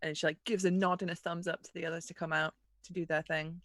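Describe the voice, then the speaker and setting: A woman's voice: on the low side, little pitch movement, fast, a bit bright, no echo, clean studio sound. A woman playing a tabletop roleplaying game, casually describing what her character does.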